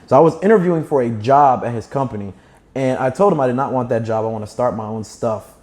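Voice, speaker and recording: A man's voice: mid-range voice, fast, darkish tone. A young man with a slight lisp, speaking fluently and emphatically as a guest telling stories and giving advice in conversation. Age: young adult. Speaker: guest, startup founder. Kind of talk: animated conversational storytelling and advice.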